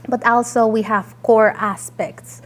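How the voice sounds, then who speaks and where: A woman's voice: on the high side, steady tone, takes it slowly, poor audio. A woman from Puerto Rico, a native Spanish speaker with a Puerto Rican Spanish accent, giving an earnest talk with frequent fillers. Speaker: guest speaker. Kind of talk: presentation talk.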